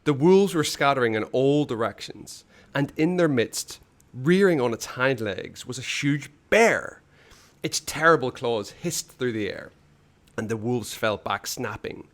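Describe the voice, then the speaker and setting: A man's voice: mid-range voice, expressive, measured pace. Guy narrating a kids adventure book, dramatic and suspenseful, voice swings up and down a lot. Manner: theatrical, suspenseful, with hushed phrase endings. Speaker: storybook reader. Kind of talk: dramatic read-aloud narration of a children's adventure story.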